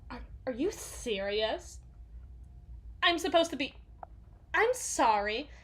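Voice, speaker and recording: A woman playing a gruff, sweary villain's bodyguard who confides haltingly and sardonically in an intimate ASMR audio roleplay. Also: high voice, big pitch swings, fairly bright tone, heavily acted. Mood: exasperated amusement. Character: gruff, foul-mouthed female villain's bodyguard, sardonic and haunted.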